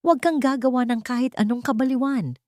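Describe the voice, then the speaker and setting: Female reader playing urgent, secretive Filipina accomplice in an audiobook, pitched up, dry sound. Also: fast talker, a little mellow, no room sound, lightly acted. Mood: alarmed, insistent. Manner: insistent, secretive. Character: urgent, conspiratorial woman, loyal morgue attendant friend. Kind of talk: audiobook character dialogue.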